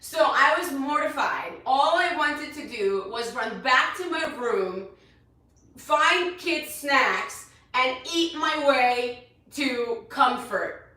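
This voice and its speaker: A woman in her thirties with a loud voice, a fitness coach giving a chatty motivational talk to her followers from a hotel room and repeating her points for emphasis. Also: sits high, lively intonation, slow pace. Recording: very echoey room, voice from a distance.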